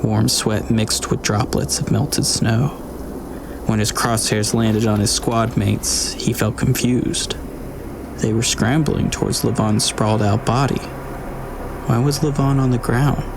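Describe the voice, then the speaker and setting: A man narrating an audiobook in a measured storytelling manner. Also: on the low side, fairly even intonation, vocal fry. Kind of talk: scripted narration. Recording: noisy recording with ambience.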